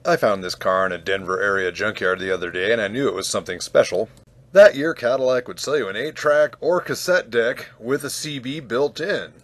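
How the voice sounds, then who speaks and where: A man's voice: lowish voice, fairly quick, fairly bright tone. A man presenting a casual hands-on demonstration in his garage, talking with dry, wry humor. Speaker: presenter, junkyard parts hobbyist. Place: home garage.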